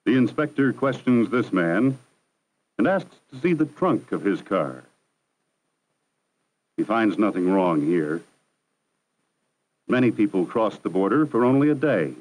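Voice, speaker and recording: A man with a fairly low voice narrates a 1960s educational film. His delivery is measured, formal and instructive, the steady voice of a scripted documentary. The sound comes from an archival film soundtrack. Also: poor audio.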